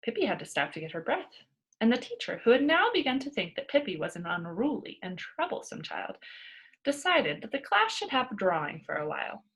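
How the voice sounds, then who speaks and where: A woman narrating a children's storybook aloud in an expressive way. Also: a little high, brisk, slightly rough, poor audio. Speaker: storybook reader.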